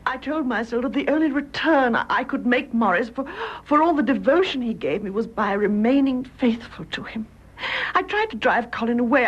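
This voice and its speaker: Anguished young English wife, muffled recording. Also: female voice, on the high side, husky. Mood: fervent. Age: young adult. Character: anguished young adulterous widow, honest and emotional. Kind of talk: British radio drama acting.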